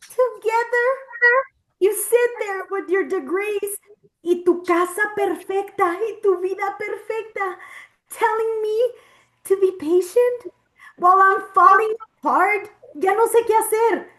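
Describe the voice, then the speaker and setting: Very high-voiced actress as an unraveling, confrontational woman patient; code-switches Spanish and English, dramatic table read. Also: a little slow, fairly bright tone. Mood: bitter, anguished. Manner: confrontational, dramatic, emotional outbursts.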